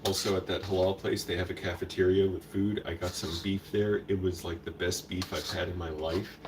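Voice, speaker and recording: A low-voiced man talks on a live stream while he fixes food in his kitchen. He rambles to himself and slips in Spanish words. He sits well back from the mic, and steady background noise runs under his voice. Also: brisk, recorded from a distance.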